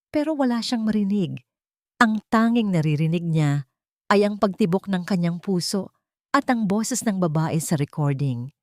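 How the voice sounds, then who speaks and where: A woman's voice: lowish voice, a little mellow, no room sound, studio quality. A woman reading a scripted love story as a dramatic narrator.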